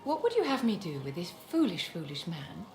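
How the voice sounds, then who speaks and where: A woman's voice: on the low side, huge pitch swings, brisk, darkish tone, roomy sound, soft background music. A woman playing an imperious aristocratic enchantress in a fantasy game, poised, genteel and condescending. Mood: icy, condescending. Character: imperious, silky aristocratic female court enchantress.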